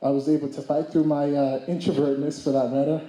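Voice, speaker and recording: A man in his twenties giving a speech at a community summit, halting with restarts and mixing in Somali phrases. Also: on the high side, level tone, warm tone, reverberant, rough recording. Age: 20s. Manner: hesitant, many restarts and fillers; mixes Somali phrases into English. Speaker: speaker. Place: event hall.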